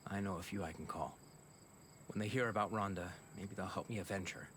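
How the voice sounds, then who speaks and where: An actor playing a guilty, grief-stricken scientist in a video game, earnest and halting. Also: male voice, low voice, fairly bright tone, a little hiss or hum. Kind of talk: video game cutscene acting.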